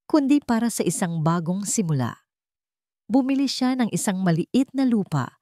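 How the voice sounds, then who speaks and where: A woman storyteller with a fairly low voice narrates a dramatic story from a script. The sound is dry, with very little room in it. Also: a little mellow, no echo.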